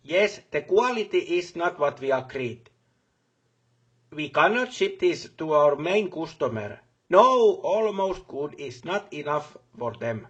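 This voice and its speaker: A man's voice: on the high side, husky, muffled recording. A man playing a busy, businesslike factory boss in a video game, talking colloquially and repeating short affirmations. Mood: firm, dissatisfied. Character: busy, brisk male factory boss on the job. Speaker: voice actor for a game character. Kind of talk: game voice lines, phone calls and workplace speeches.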